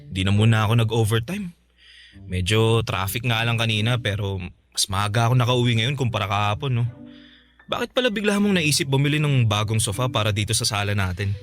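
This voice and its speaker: An actor playing an easygoing, doting young live-in boyfriend in a Filipino radio drama, joking and colloquial. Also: male voice, fairly low pitch, lively intonation, fairly quick, soft background music, natural voice. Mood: fond, reassuring.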